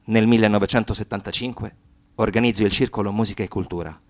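An actor playing an impassioned young Sicilian activist recounting his political life in a solemn, deliberate radio-theatre monologue. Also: on the low side, fairly even intonation, no room sound, natural voice. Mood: solemn recollection. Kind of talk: dramatic first-person monologue in radio theatre. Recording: acoustic guitar music behind the voice.

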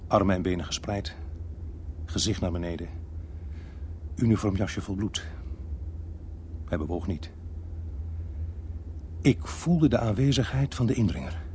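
A man playing a police officer who narrates a crime radio drama in a measured, suspenseful way. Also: low-pitched, animated, mellow. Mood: tense, measured. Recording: noisy archived radio drama recording.

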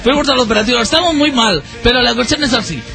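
Male comedy radio columnist, high-pitched voice, mock-serious storytelling, music underneath. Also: expressive, fast talker, vocal fry, pushed and bright, some chatter behind. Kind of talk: comic banter and anecdote telling.

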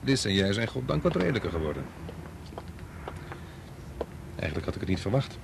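A man playing a brisk, condescending laboratory boss in a radio drama, authoritative and matter-of-fact. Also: on the low side, big pitch swings, creaky, background noise.

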